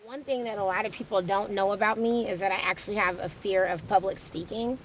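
A woman in her thirties tells how she started her company, with a high-pitched voice. She talks candidly and conversationally, with plenty of fillers. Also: fairly even intonation, fairly quick, slight background noise, rough recording. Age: 30s. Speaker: startup founder. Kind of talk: personal story told in interview. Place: studio set with leafy wall.

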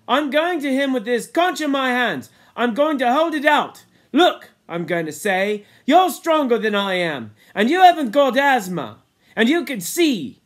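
A man's voice: very high voice, big pitch swings, a little slow, voice pushed up, full character voice. A man voicing an indignant, pleading young boy in a storybook reading, speaking insistently in colloquial schoolboy grammar.

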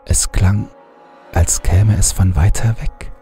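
A man reading a horror story aloud in a calm, measured first-person narration. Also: low-pitched, faint music behind.

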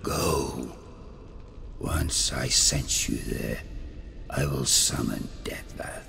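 مصاص دماء عتيقًا بصوت أجش بالغ العمق. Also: male voice, a bass voice, bright, forceful tone, faint music behind, full character voice. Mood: cold, commanding. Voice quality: gravelly, breathy whispering. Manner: slow, terse, imperious. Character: ancient reclusive male elder vampire, imperious, menacing, contemptuous. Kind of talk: dramatic video game acting.

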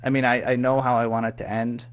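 A man speaking as an interview guest in casual chat, hesitant, halting and self-effacing as he talks about his own work. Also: medium pitch, flat delivery. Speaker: guest, audio drama writer and producer.

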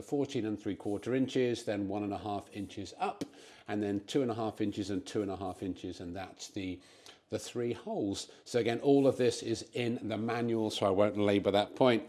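A man in his fifties hosting a DIY vlog from his garage, explaining things casually with frequent pauses on a lapel mic. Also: fairly low pitch, mellow. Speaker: host of a DIY car-building vlog. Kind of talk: casual instructional talk to camera. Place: home garage. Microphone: clip-on lapel mic.

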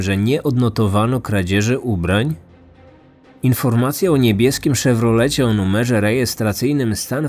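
A man narrates a scripted true-crime story as podcast host. His voice is on the low side, with faint music underneath. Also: darkish tone.

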